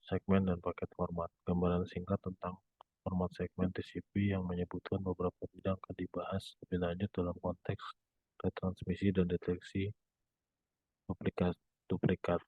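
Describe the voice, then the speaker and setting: A young man reads a prepared academic presentation in a low, very monotonous voice. He stumbles now and then over words. The sound is thin and phone-like. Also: moderate pace, husky, dry recording. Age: young adult. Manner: monotone read-aloud with occasional stumbles. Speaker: student presenter. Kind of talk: scripted reading, academic presentation. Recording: muffled phone-like narrow band sound.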